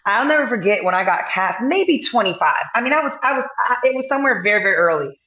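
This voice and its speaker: Relaxed, rambling woman guest in an echoey room. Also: mid-range voice, quick pace, muffled recording. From US Midwest. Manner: relaxed, rambling storyteller with many fillers. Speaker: guest actor. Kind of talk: casual podcast interview.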